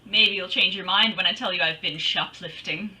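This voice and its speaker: A woman's voice: on the low side, moderate pace, pushed and bright, poor audio. A woman playing a breezy, wisecracking private detective in an old-time radio drama, with a sassy, slangy delivery. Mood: teasing.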